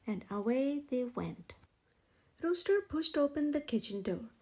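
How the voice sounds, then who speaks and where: A woman reading a children's storybook aloud as an expressive narrator. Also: sits high, a little slow. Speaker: read-aloud storyteller, channel host.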